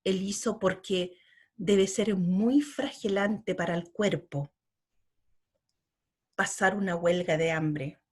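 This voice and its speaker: A middle-aged woman from Chile, a poet being interviewed, speaking slowly and earnestly and often repeating her words. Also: lowish voice, a little mellow, slightly rough. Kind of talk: reflective interview talk.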